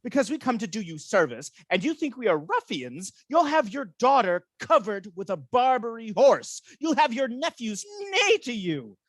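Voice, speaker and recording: A man's voice: extremely high pitch, sing-song, normal speed, hardly any pauses, a bit bright, dry recording, exaggerated voice. A man playing a bitter, scheming military ensign in a Shakespeare radio play, theatrical and sardonic. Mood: mocking. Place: recorded at home over a video call.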